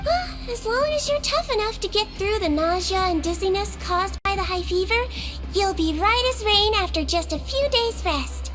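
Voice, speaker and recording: A woman voicing a small, gentle, slyly sweet girl nurse from a magical folk in a video game, patient and teasing. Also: very high-pitched, lively intonation, moderate pace. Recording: music behind the voice.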